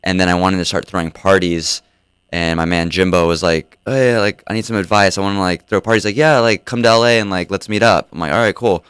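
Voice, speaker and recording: A young man with a very low, smooth voice answers questions in a radio studio. He talks in a laid-back, meandering way, leaning on "like" again and again. The sound is close and dry. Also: fairly even intonation, a little fast, a bit bright, dry recording. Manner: laid-back, many "like" fillers. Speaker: DJ and podcast host guest. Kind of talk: casual interview answers.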